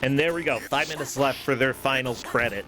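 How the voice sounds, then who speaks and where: A man's voice: mid-range voice, a little fast, low-quality recording. A man giving enthusiastic, conversational live commentary on a gaming tournament. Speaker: esports commentator. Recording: game music behind the voice.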